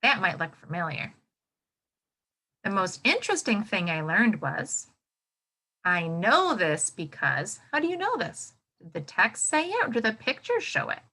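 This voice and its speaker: Animated, bright-voiced woman teaching young children. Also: mid-range voice. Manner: encouraging, prompting with questions and pausing for answers; exaggerated, child-directed expressiveness. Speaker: elementary school teacher. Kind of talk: interactive read-aloud lesson for young children.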